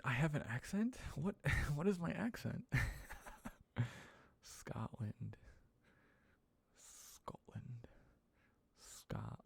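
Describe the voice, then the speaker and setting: An American man hosting a live ASMR question-and-answer stream, talking softly and slipping into whispers, with frequent chuckles. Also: medium pitch, vocal fry, husky. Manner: soft-spoken, drifting into whispers, chuckling often.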